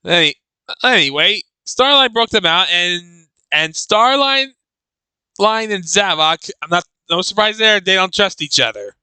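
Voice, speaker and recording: A man giving a casual, halting live reaction commentary as host, often repeating and restarting his phrases. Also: sits high, lively intonation, pushed and bright, smooth, no room sound, low-quality recording.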